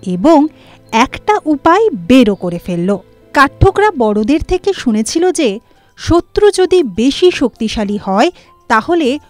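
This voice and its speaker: A woman reads a children's moral fable aloud in a slow, deliberate storybook style. Her fairly high voice climbs and dips expressively, recorded dry and close with gentle music underneath. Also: no echo. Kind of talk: scripted narration of a children's moral tale.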